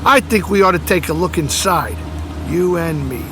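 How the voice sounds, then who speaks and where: Man playing high-voiced reckless cocky tough guy. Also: animated, normal speed, music in the background, close to an everyday voice. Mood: cocky, determined. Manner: cocky, taunting. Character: reckless, pushy tough guy spoiling for trouble. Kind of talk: audio drama acting.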